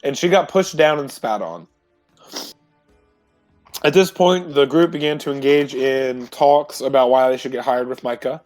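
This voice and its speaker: Halting man recapping over music, dry sound. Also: medium pitch, darkish tone, dry recording. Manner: halting, self-correcting storytelling. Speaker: tabletop roleplay player. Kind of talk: informal recap of a previous game session.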